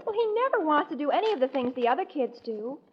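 A dull, slightly noisy old radio recording of a pouty young American girl. Her voice is high and very lively, exasperated and dismissive in the manner of a 1940s family comedy. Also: fast talker. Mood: petulant. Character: petulant, dismissive young niece, teenage or barely older. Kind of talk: 1940s radio sitcom acting.

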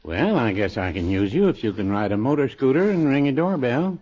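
An actor playing a dry, mild older druggist with a deadpan, teasing delivery in a 1950s radio comedy. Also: male voice, mid-range voice, fairly quick, background noise, close to an everyday voice. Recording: dull old radio recording.

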